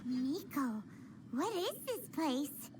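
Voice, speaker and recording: A woman voicing a tiny, excitable but timid childlike fairy companion in an anime game, with a squeaky voice. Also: takes it slowly, noisy background, heavily acted. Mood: nervous. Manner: speaks of self in third person, exclamatory. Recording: game music behind the voice.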